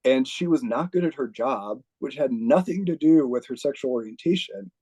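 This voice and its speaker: Man, American, interview guest, mellow tone, casual storytelling, frequent fillers, laughs mid-story. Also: medium pitch, lively intonation, moderate pace, breathy edge, low-quality recording. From United States. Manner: chatty, heavy use of "like", laughs while telling stories. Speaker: interview guest, retired army officer.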